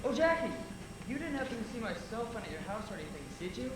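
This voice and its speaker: A man's voice: extremely high pitch, sing-song, quick pace. A young man playing a defensive, well-off preppy teenager in a stage comedy. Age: young adult. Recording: cavernous echo, noisy stage recording.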